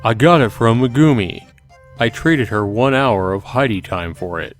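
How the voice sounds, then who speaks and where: A man's voice: mid-range voice, moderate pace, soft background music, lightly acted. A man voicing a brash, overfamiliar young male friend in a visual novel, upbeat and pushy. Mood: cheerful, pushy. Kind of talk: visual novel character reading.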